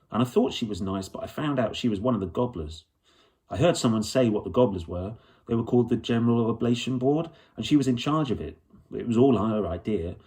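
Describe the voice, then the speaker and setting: A man's voice: mid-range voice, mellow, lightly acted. A man voicing a fierce, stubborn young girl in a children's storybook, earnest and eager, rambling breathlessly in ungrammatical colloquial speech.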